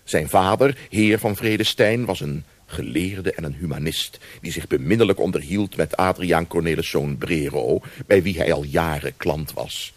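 An actor playing a wry storyteller, narrating a radio play expressively with savoured pauses and asides. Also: male voice, low-pitched, dry recording. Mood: wry, savouring. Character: wry male storyteller of old Amsterdam.

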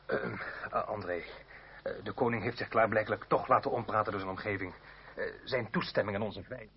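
An earnest, persuasive politician played by a man in a radio play, lowish in pitch, explaining things carefully with some hesitation. The sound is an old, dull recording with noise behind it. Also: a little fast, lightly acted. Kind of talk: radio drama acting.

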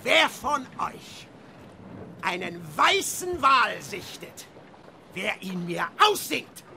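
An actor playing an obsessed, tyrannical old whaling captain in a radio drama, with commanding, theatrical oratory. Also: male voice, sits high, sing-song, slow pace, creaky, pushed and bright, slight background noise, exaggerated voice. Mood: rousing, fervent.

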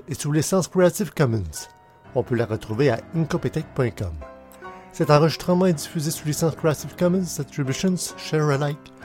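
A man's voice: a little high, a little mellow. A man reading scripted podcast credits and sign-offs as the show's announcer.

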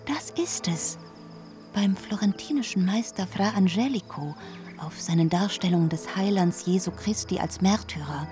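A woman's voice: medium pitch, normal speed, mellow, faint music behind, close to an everyday voice. An actress playing a shrewd, mocking colonial businesswoman in a radio drama, amused and calculating. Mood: delighted, admiring. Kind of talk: German radio drama acting.

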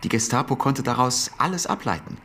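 An actor playing a brooding, sardonic intelligence officer in a radio drama. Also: male voice, medium pitch, lively intonation, a little fast, background noise, lightly acted. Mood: sceptical.

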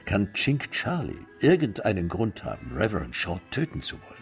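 An actor playing a gruff, sarcastic homicide sergeant who presses witnesses in a radio drama. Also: male voice, fairly low pitch, wildly swooping pitch, normal speed, soft background music, exaggerated voice. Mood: questioning. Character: gruff middle-aged male homicide sergeant, impatient. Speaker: police detective sergeant. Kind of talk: German radio play acting.

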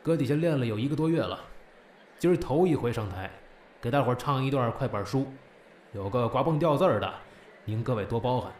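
A man playing a stern but fair crosstalk master, measured and instructive, in an audio drama. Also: medium pitch, animated, darkish tone, slight background noise, close to an everyday voice. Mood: genial.

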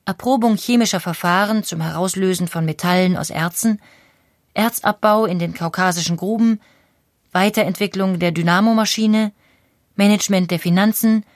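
A woman's voice: medium pitch, moderate pace, dry recording, clean studio sound. A woman narrating a historical audio documentary from a script in a factual, articulate manner.

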